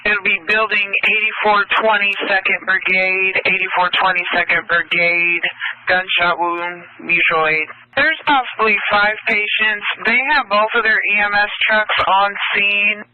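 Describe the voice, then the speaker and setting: A woman's voice: medium pitch, level tone, measured pace, no echo. A woman emergency dispatcher over the radio, speaking in clipped phrases and repeating key details. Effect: radio transmission. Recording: tinny, narrow dispatch radio audio.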